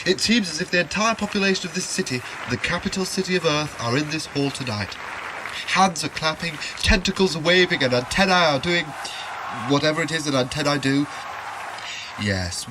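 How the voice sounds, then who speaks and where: High-pitched, florid live commentator played by a man. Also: noisy background, natural voice. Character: earnest, florid male live concert commentator. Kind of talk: hushed-to-excited live broadcast commentary.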